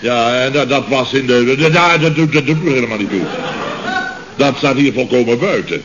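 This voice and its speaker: An actor playing a boisterous, pompous boss of a Dutch building firm in a radio comedy, exclaiming and stammering, with a Netherlands accent. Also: male voice, mid-range voice, moderate pace, lightly acted. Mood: suspicious, mocking. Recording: dull old radio recording, faint noise.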